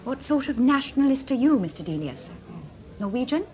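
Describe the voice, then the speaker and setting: A woman's voice: sits high, big pitch swings, a little fast, mellow, slight background noise. An actress playing a frank, slightly self-deprecating young woman painter in a 1980s radio drama. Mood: earnest. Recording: party crowd behind.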